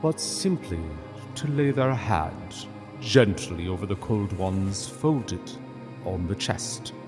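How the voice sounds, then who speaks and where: A man narrating a scripted literary story in a solemn, measured way with dramatic pauses. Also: fairly low pitch, expressive, long reverb tail, over music.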